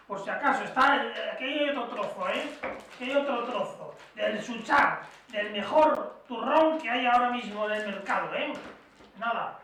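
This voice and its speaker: Man, extremely high pitch, sharp tone, rambling improvised talk, distant mic with strong echo. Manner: rambling, repetitive, tag questions. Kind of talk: rambling improvised holiday video with product tasting. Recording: very echoey, distant mic, slight noise.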